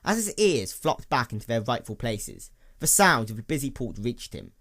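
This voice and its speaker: A man narrates a dramatic piece of fiction. His delivery is expressive and descriptive, with a very animated pitch that rises and falls across the sentences. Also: moderate pace.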